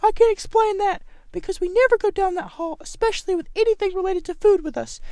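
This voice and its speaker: A man's voice: very high-pitched, fast talker, mellow, no room sound, clean studio sound, pitched above the performer's own voice. A man voicing a defensive female school lunch lady in an indignant, emphatic way for a story.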